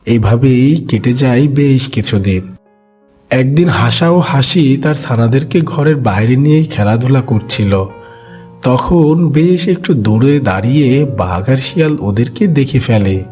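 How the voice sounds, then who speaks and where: A man's voice: medium pitch, animated, a little slow, dark tone, soft background music. A man narrating a scripted children's animal story as its storyteller.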